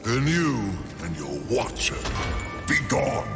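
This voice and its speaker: An actor playing an ancient, solemn supernatural lord in a video game, speaking cryptically and with authority in a gravelly voice. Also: male voice, huge pitch swings, full character voice. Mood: solemn, cryptic. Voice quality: deep, gravelly. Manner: slow, portentous. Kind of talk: dramatic video game cutscene acting. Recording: cavernous reverb under loud music.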